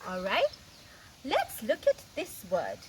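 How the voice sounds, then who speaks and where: High, animated woman reading to children. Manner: teacherly, expressive, emphatic, drawn-out words. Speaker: storyteller reading aloud to children. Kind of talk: interactive picture-book read-aloud with questions. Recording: clear background noise.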